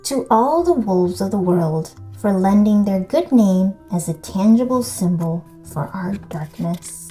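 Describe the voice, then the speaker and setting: Female storyteller for children's read-aloud: mellow, unhurried, deliberate, soft music underneath. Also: medium pitch, animated. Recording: music behind the voice.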